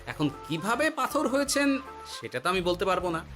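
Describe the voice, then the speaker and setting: High-pitched, clever cartoon jester. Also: male voice, very animated, full character voice. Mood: instructive. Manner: instructive, deliberate, cautioning. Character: clever, commanding male village jester guiding a treasure hunt. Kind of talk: Bengali cartoon voice acting. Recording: loud background music.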